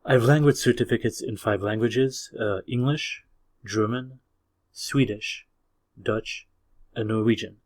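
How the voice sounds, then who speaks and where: A man whose first language is Hungarian hosts a video and delivers a scripted opinion monologue in a measured, explanatory way, often posing rhetorical questions to the viewer. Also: on the low side. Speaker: video host.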